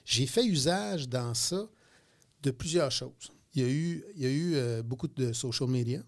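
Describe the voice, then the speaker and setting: Man, fifties, Quebec French accent, mid-pitched, animated, lots of hesitations. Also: normal speed. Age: 50s. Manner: animated, repeats words, many hesitations. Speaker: guest entrepreneur. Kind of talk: radio interview, casual storytelling.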